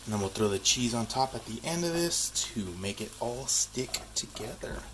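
Young male home-cooking vlogger, casual and jokey, mid-pitched, recorded on a camera mic over clear kitchen sizzle. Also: animated, normal speed, a bit bright, slight background noise. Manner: upbeat, joking, self-deprecating. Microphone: camera mic, handheld and tripod. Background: sizzling pan and kitchen sounds.

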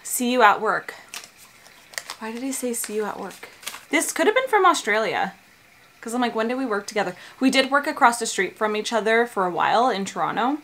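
A bubbly woman in her thirties vlogs her day at home, holding the camera close. Her voice sits a touch high and she laughs often as she chats. A faint hiss of noise sits under the sound. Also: very animated, a little fast, fairly bright tone. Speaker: vlogger, new mom. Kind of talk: casual vlog chat. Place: large bright home kitchen and bedroom. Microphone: camera held at arm's length.

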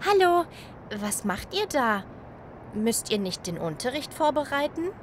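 Excitable little cloud girl from a kids audio play, a woman plays her, higher voice, swoopy. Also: a little slow, a little hiss or hum. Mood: worried. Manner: enthusiastic, wondering. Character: cheerful friendly little female cloud. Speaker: voice actor in a children's audio drama.

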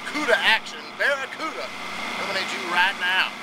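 A man's voice: high voice, expressive, moderate pace. A man giving casual, playful commentary while fishing, speaking outdoors from a boat into a handheld camera. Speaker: fishing video host. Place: outdoors on a small boat at sea. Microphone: handheld camera in open air. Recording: loud boat engine and outdoor noise.

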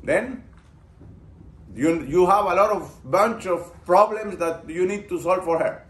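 A man's voice: sits high, unhurried. A man lecturing a university class, posing questions to the students and building on their answers. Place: lecture room. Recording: roomy lecture-room echo.

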